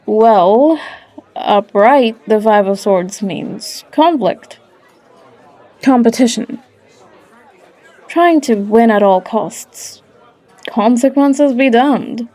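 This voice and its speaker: A woman acts out a tavern barkeep who tells fortunes in an audio roleplay. Her voice is fairly high and mellow, and she takes her time, warmly teasing and coaxing like an old confidante. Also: some chatter behind. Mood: wise, teasing. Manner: coaxing, unhurried, calls listener love. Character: Old Red, warm teasing female barkeep and fortune teller. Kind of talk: character audio roleplay acting.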